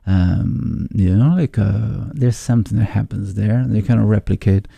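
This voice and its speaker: A man with an Argentine Spanish accent and a gravelly, very dark voice. He talks in a low register, thoughtful and halting, reaching for the right words as a musician guest in an interview. The sound is close and dry. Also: no echo. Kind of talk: casual interview conversation.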